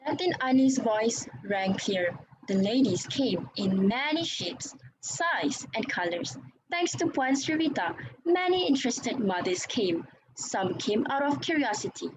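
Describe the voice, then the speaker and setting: A woman reading scripted narration for a dramatic reading, as an expressive storyteller. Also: fairly high pitch, a little slow. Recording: clear background noise.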